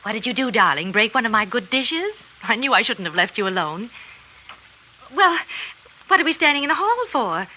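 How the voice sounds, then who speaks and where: An actress playing a chatty, affectionate, gently bossy wife, breezy and teasing, in 1950s radio drama style. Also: female voice, a little high, sing-song, normal speed. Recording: muffled, noisy 1950s radio recording.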